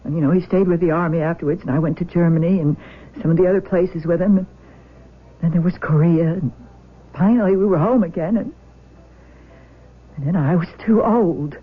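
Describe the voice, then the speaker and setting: Anguished older mom, raspy breathy voice, emotional, old radio drama, muffled lo-fi sound. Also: female voice, lowish voice. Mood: sorrowful confessing. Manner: halting, emotional, repeating words. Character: anguished, tender older adoptive mother. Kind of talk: 1970s radio drama acting. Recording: dull lo-fi old radio broadcast.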